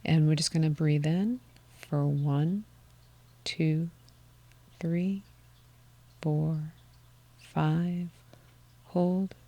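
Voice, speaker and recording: Soft-spoken woman guiding slow breathing. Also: sits low, steady tone, muffled recording. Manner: soft-spoken, drops toward a whisper while counting. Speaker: wellness podcast co-host. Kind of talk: calm guided breathing instruction.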